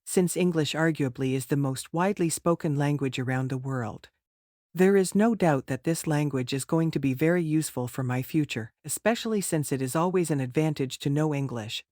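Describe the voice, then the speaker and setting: Measured man giving rehearsed test answers. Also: fairly high pitch, darkish tone, no room sound, clean studio sound. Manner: formal, rehearsed, measured delivery. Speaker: test candidate. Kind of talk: scripted mock speaking-test answers.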